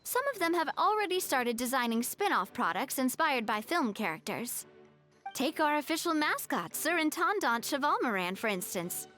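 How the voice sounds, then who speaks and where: A young noblewoman from a fantasy game, cheerful and poised. Her voice is high and swings melodically as she explains in polished, articulate sentences. Music plays behind her. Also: big pitch swings, moderate pace, fairly bright tone. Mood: cheerful, proud. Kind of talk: video game story dialogue acting. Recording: studio voice over game music.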